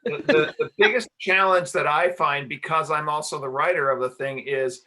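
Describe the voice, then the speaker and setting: A man who writes radio plays, talking casually and haltingly in a group discussion, often repeating words. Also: a little high, sharp tone.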